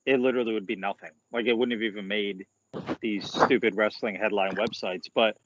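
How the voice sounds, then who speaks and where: A man's voice: on the low side, steady tone, fairly quick, a bit bright, dull audio, slight background noise. Laid-back man saying "like" a lot. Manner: casual, swears, lots of "like". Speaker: podcast co-host. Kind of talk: casual wrestling chat.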